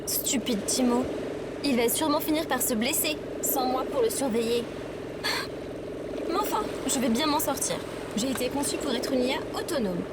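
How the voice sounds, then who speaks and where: A woman's voice: high voice, normal speed, very noisy, lightly acted. A woman playing an earnest, curious and naive female AI droid in an audio drama. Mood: indignant.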